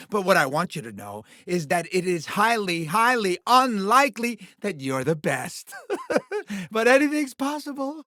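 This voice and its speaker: A man's voice: high voice, pushed and bright, no echo, clean studio sound, exaggerated voice. A man playing a sleazy, bombastic alien TV mogul villain in a video game, with theatrical, condescending showbiz patter.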